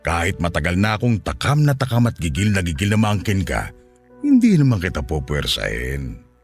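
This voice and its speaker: An actor playing a lustful, coaxing middle-aged Filipino widower in a Tagalog radio drama. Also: male voice, low voice, huge pitch swings, moderate pace, soft background music, exaggerated voice. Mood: coaxing, sly. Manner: suggestive, coaxing, chuckling seducer.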